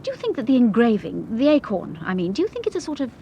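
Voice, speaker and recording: High-voiced, expressive detective's wife. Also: female voice, fast talker, gravelly, lo-fi sound, a little hiss or hum, heavily acted. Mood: musing. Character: poised, inquisitive detective's wife, affectionate and quick. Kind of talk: vintage British radio drama acting.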